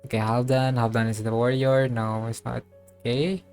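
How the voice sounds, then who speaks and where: A man's voice: mid-range voice, level tone, darkish tone, clear, resonant tone. Man musing through game commentary, music behind. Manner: musing aloud, thinking through things haltingly. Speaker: game streamer. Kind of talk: casual playthrough commentary.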